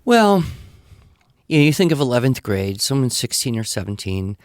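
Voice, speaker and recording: A man answers questions in a conversational, explanatory way, with a medium-pitched voice. He leans on key phrases for emphasis as he gives advice. The sound is dry, with no room reflections. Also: big pitch swings, normal speed, clean tone, no room sound. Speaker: podcast guest answering listener questions.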